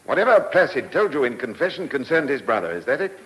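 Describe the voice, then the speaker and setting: An actor in a vintage radio play as a police chief inspector, questioning insistently and giving orders with authority. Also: male voice, medium pitch, sing-song, fast, husky, low-quality recording. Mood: brisk, commanding. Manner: insistent, probing questioning, commanding. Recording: old radio recording with faint noise.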